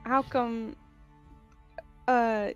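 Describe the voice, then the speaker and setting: A woman playing a tabletop game, chatting halfway in character with a vague put-on European accent, halting and giggly. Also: on the high side, fairly even intonation, smooth, highs cut off, faint music behind. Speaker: player. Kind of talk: tabletop roleplay, half in character.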